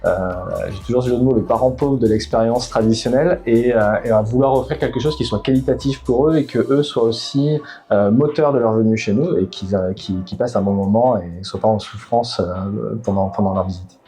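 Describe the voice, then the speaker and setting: A man's voice: lowish voice, brisk, dark tone, faint music behind. A middle-aged man from Bordeaux, a startup founder answering interview questions, hesitant, with many fillers and restarts. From Bordeaux, France.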